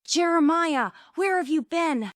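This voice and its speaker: A woman's voice: extremely high pitch, lively intonation, unhurried, no echo, studio quality. A woman playing a grand, meddling romantic lady, dramatic and playful, in a comic stage play table read. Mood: urgent, teasing.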